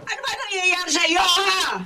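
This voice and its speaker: Very high alarmed filipina mom calling out, radio drama, loud noise all over. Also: female voice, expressive, moderate pace. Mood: concerned. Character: alarmed, questioning middle-aged mother. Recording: distant voice under loud noise.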